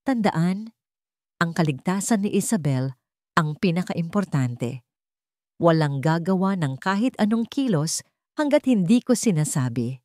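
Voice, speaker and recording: A woman plays a young billionaire man in a narrated romance drama, determined and protective. The delivery is commanding and urgent, with a Filipino accent, wide pitch movement and a dry recording. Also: big pitch swings, unhurried, long pauses, a little mellow, no echo. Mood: commanding, controlled.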